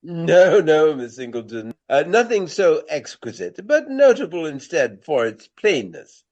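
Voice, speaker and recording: An actor playing an aging, pompous Regency gentleman of the stage in a radio play, nostalgic and theatrical, with florid phrasing and dramatic pauses. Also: fairly high pitch, sing-song, dull audio. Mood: amused gossip.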